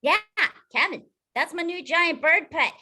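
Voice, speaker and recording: A woman playing an eager, innocent young boy in a table read, earnest and excitable. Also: very high voice, unhurried, heavily acted. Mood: excited.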